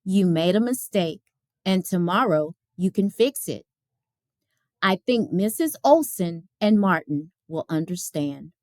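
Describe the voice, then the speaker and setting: A woman voicing a gentle, reassuring father in a storybook, speaking soothingly. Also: on the low side, lively intonation, a little slow, no room sound, studio quality, pitched below the performer's own voice.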